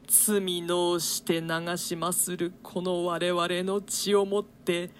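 A woman's voice: lowish voice, little pitch movement, takes it slowly, slight background noise. A woman playing a condemned nobleman, grave, bitter and pious, in a declamatory theatrical reading of archaic Shakespeare. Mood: solemn, imploring. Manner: declamatory, solemn.

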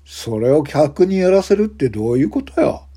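A man voicing a menacing, foul-mouthed gangster hotel guest, domineering and curt, with rough slangy speech. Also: fairly high pitch, sing-song, moderate pace, voice pushed up. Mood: indignant. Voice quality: loud, rough shouting when angered.

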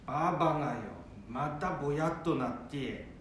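Someone doing a gruff old grandpa with a twang. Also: mid-range voice, normal speed, recorded from a distance, close to an everyday voice. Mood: worried. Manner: gruff, rustic dialect talk. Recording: very echoey room, noisy.

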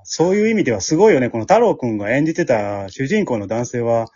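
A man's voice: medium pitch, very animated, fast, darkish tone, breathy edge, no room sound. A man with a Kansai accent chatting casually as a co-host after a show. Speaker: podcast co-host of an amateur drama group. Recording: dull lo-fi recording.

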